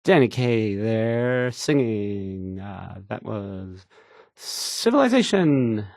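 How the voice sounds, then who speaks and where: A man's voice: lowish voice, big pitch swings, extremely slow, creaky, darkish tone, no room sound, studio quality, exaggerated voice. A man acting a shy, nervous young radio DJ in a video game, stammering, hesitant and unsure of himself. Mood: nervous, apologetic. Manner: stammering, hesitant, self-doubting, trailing off. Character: painfully shy, nervous, awkward male radio DJ.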